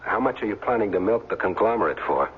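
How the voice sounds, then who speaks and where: An actor in a 1970s radio drama playing a defiant retired football player who narrates in plain, hard-boiled language. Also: male voice, on the low side, animated, fast talker, slight background noise, close to an everyday voice. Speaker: first-person narrator and lead. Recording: very muffled 1970s radio recording.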